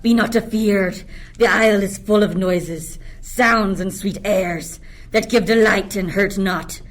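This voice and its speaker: Resentful, dreamy monster servant played by a woman, medium pitch, plotting in Shakespearean radio play. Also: a little slow, close to an everyday voice. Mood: dreamy, soothing. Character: resentful enslaved island monster, scheming yet dreamy. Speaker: student actor.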